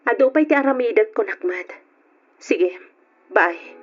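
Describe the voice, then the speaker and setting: Troubled, hesitant young heroine, high voice, old radio drama on a dull recording. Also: female voice, expressive, unhurried, breathy edge, faint music behind. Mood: curt, evasive. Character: troubled, hesitant young woman hiding her feelings.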